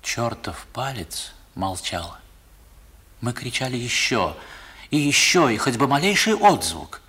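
An actor narrating a 1960s radio drama as a man recalling his boyhood, in an expressive literary style. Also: mid-range voice, a little slow, a little hiss or hum. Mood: mounting despair. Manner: expressive, theatrical storytelling. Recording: vintage 1960s radio recording.

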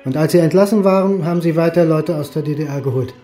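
An actor playing a weary, reserved historian turned reluctant detective, terse and dry, in a naturalistic radio play. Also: male voice, fairly high pitch, fast talker, warm tone, faint music behind, natural voice. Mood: probing.

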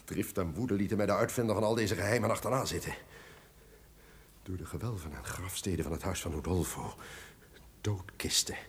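An actor playing a tyrannical, haunted and wrathful duke in an old radio drama, declaiming melodramatically. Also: male voice, deep voice, a little fast, close to an everyday voice. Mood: haunted, shaken. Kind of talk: old radio play acting. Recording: noisy old radio recording.

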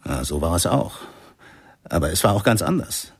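Ein Schauspieler spielt in einem Hörspiel einen ehemaligen Bandkollegen, der rau und im Plauderton Erinnerungen erzählt. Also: male voice, low-pitched, big pitch swings, moderate pace, exaggerated voice. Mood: admiring. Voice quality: gravelly, husky. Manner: conversational, searching for words. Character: former bandmate fondly recalling his leader. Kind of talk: radio drama witness account.

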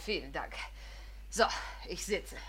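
A grand elderly lady of the stage in a 1950s radio drama. Her voice is on the low side, and she speaks pompously, with imperious, fussy airs. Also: animated, takes it slowly, a bit bright, husky, exaggerated voice. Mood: gracious, bossy. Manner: theatrical, pompous. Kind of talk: 1950s German radio play acting.

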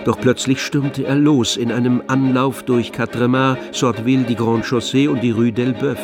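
A man's voice: mid-range voice, steady tone, moderate pace. A man reading scripted literary narration as the narrator of a radio play. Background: music, with violin.

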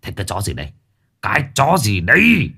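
Guy doing a mean older boss man, snarling, cursing, husky voice that jumps all over. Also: on the low side, huge pitch swings, normal speed, fairly bright tone, exaggerated voice. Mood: menacing. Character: furious older boat boss, menacing man.

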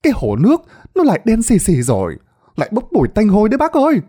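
A man voicing a male villager who rushes in, calling out breathless and urgent. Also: high voice, wildly swooping pitch, mellow, voice pushed up, full character voice. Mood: alarmed. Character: breathless male villager bringing bad news.

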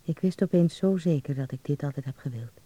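A man's voice: on the high side, brisk, warm tone, close to an everyday voice. An actor playing a dreamy young man in a radio drama, confiding intimately in a letter home to his mother. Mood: quietly excited.

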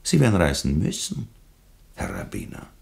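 A patronising Austrian bureaucrat in a radio play. The voice is extremely deep, full of gravelly creak, and slides expressively up and down. He is unctuous and officious, always insisting. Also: huge pitch swings, normal speed, a little mellow, full character voice. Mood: veiled pressure. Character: smooth-talking, patronising male government official, insistent and oily. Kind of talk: German-language radio play dialogue.

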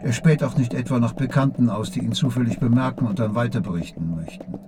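A man's voice: medium pitch, fast talker, noisy background, natural voice. A man narrating a literary radio play in an observant, composed way.

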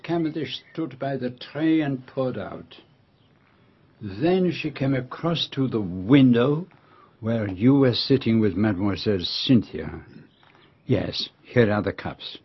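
An actor playing a courteous, shrewd detective with a French accent in a radio drama, formal and measured as he probes and gently teases. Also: medium pitch, slightly rough, full character voice. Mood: methodical. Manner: formal, polite, measured, probing. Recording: dull, lo-fi radio drama recording.

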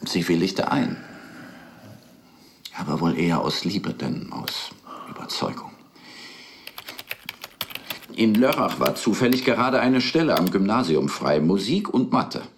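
A man's voice: deep voice, big pitch swings, measured pace, pauses often, full character voice. An actor in a radio play playing an earnest, articulate married professional man with an ironic, emphatic manner. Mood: recollecting, reflective. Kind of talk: radio play dialogue and recollection.

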